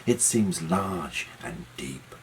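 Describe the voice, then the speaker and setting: A storyteller narrating a children's tale in a gentle, measured and expressive way, with music behind the voice. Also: a bass voice, a little hiss or hum.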